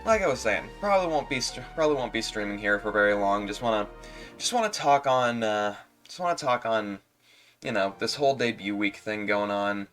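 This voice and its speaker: Streamer, male, low-ish voice, congested nose, stumbling casual delivery, faint music under. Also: expressive, a bit bright. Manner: halting, stumbles and repeats words. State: stuffy nose. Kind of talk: casual livestream chat.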